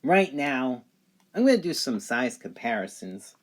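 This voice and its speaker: Guy doing a product review, really slow, mid pitched voice, halting w lots of pauses. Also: sing-song. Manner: halting, pausing between short phrases. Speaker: gadget reviewer. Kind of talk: unscripted product review, describing features on camera.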